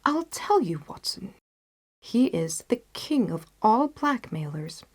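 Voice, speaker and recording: A woman's voice: medium pitch, sing-song, slow pace. A woman playing an intense, disgusted male detective in an audiobook, speaking in an emphatic, declamatory way. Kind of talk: audiobook character dialogue.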